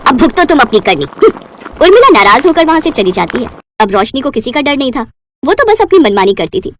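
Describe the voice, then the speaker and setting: Female narrator for cartoon family tales, high register, scripted delivery, faint background noise. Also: big pitch swings, quick pace. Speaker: story narrator.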